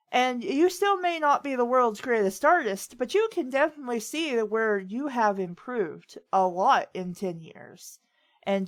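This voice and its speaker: A woman's voice: on the high side, fairly bright tone. Chatty woman sharing casual advice. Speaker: host of a writing advice talk. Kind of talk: casual chat, giving advice.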